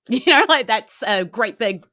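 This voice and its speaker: A woman's voice: a little high, lively intonation, slow pace, bright, forceful tone, no echo, clean studio sound. A woman with a New Zealand accent, improvising casually as the game master of a tabletop role-playing game.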